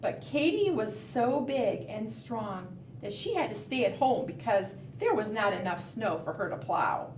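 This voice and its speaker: A woman's voice: fairly high pitch, expressive, measured pace, hardly any pauses. A woman leading a preschool storytime, reading aloud and doing fingerplay in a sing-song manner, often putting questions to young children. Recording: roomy, distant mic, faint background noise.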